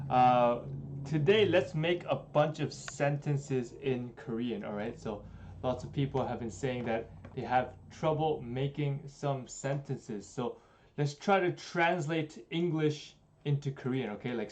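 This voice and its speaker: A young man in a small room giving a relaxed, chatty livestream language lesson, slowing down to spell out examples. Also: mid-range voice, slight background noise. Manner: relaxed, chatty, many fillers, slows down for examples. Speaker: Korean language teacher.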